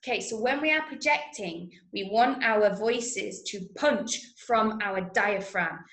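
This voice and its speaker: A woman with an English accent teaching an online drama workshop, enunciating clearly and coaching with encouragement. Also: mid-range voice, measured pace, fairly bright tone. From Manchester, England. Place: indoor room, online video call. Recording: roomy, echoing video-call sound.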